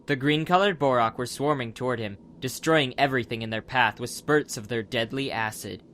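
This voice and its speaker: A man's voice: medium pitch, a little fast, a bit bright, a little hiss or hum. A man narrating a dramatized adventure audiobook from a script as the storyteller.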